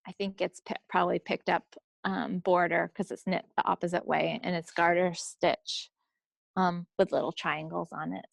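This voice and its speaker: A middle-aged woman hosting a podcast, talking casually about products in an informal, halting way and often circling back to rephrase. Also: lowish voice, gravelly, no echo. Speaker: podcast host presenting yarn picks.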